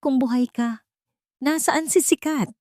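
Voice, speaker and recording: A woman narrator acts out a murderous male colonel coming undone in a dramatic storybook. He throws out desperate, growling threats at a raised pitch, in a very dry close sound. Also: a little slow, darkish tone, no room sound. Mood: frantic pleading.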